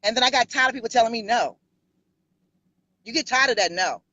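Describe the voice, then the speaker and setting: This is a woman in her fifties from New York who speaks with a New York accent. She tells her story in a bold, sassy way, and her voice is bright and forceful. The sound is close and dry, though a little muffled. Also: a little high, a little fast, no room sound. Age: 50s. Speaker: pastor and interview guest. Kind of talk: casual interview.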